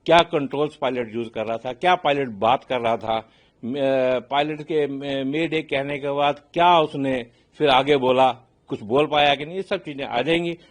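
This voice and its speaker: A man's voice: mid-range voice, normal speed. A man with an Indian accent speaking as an expert interview guest, explaining step by step with everyday examples and short check phrases, switching between two languages. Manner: mixes English phrases into Hindi. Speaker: aviation consultant, expert guest.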